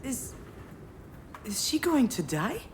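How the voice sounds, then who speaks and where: An actress playing an overwhelmed, sardonic adult daughter in a video game, speaking in a halting, expressive way. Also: female voice, on the high side, a little hiss or hum. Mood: shocked, distressed. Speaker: game character, artist's assistant. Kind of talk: naturalistic video game acting.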